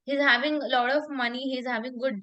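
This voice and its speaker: A woman's voice: sits high, steady tone, quick pace, fairly bright tone, lo-fi sound. A woman works as a public speaking coach. She explains in an emphatic, conversational way, repeating phrases for weight and checking in with short tag questions.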